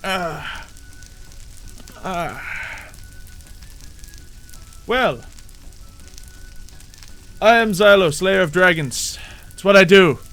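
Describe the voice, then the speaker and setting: Bright-voiced man doing gameplay roleplay commentary. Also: high-pitched, animated, extremely slow, pauses often, soft background music. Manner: muttering to himself, repeats phrases. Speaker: gaming video host.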